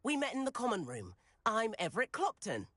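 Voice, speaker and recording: A man's voice: sits high, wildly swooping pitch, brisk, sharp tone, no room sound, clean studio sound, heavily acted. A man playing a cheeky, daring teenage schoolboy wizard in a video game, with a breezy, persuasive manner.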